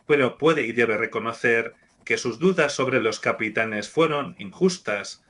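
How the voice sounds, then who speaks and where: A man's voice: medium pitch, sharp tone. A man playing a formal, decisive company official in an audio drama.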